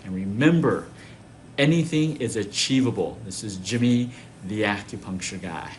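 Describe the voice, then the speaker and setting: A man's voice: lowish voice, animated, normal speed, slight background noise. A man from Taiwan who works as an acupuncturist, giving casual, conversational health tips and often checking in with short tag questions. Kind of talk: casual instructional talk to camera.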